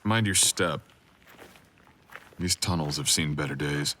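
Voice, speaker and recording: Man playing a very deep-voiced brooding supernatural boyfriend. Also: measured pace, bright, forceful tone, slight background noise, full character voice. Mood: sly, intimate. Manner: intimate, teasing, drawling. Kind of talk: boyfriend ASMR audio roleplay.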